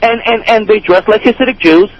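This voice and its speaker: A man calling into a radio show, challenging the hosts quickly and repeating himself to get heard. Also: sits high, a little hiss or hum. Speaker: caller. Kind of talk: call-in phone conversation. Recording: muffled phone line.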